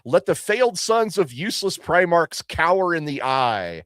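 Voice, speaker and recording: A man co-hosting a casual podcast, reading viewer messages aloud and reacting with excited exclamations and frequent laughter. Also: on the high side, moderate pace, smooth, no echo, clean studio sound. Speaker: podcast co-host.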